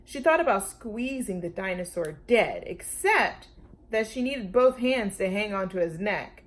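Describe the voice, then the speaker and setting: A woman's voice: mid-range voice, expressive, unhurried. A woman reading a children's story aloud expressively, as a storytime host speaking to young listeners. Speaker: storytime reader.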